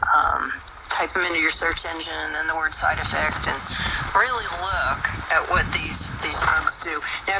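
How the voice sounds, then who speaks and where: A man with a high, gravelly voice holds forth on his own radio health show. He talks in a folksy, preachy way, full of little fillers, and the broadcast carries a noisy hiss. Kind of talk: casual talk radio monologue.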